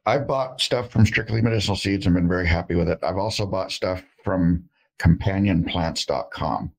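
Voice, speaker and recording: A middle-aged man with a very low, gravelly and somewhat husky voice, speaking over a video call. He talks as an herbalist guest, folksy and joking, rambling along with plenty of fillers. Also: normal speed. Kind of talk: casual interview talk. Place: indoor room with shelves of jars.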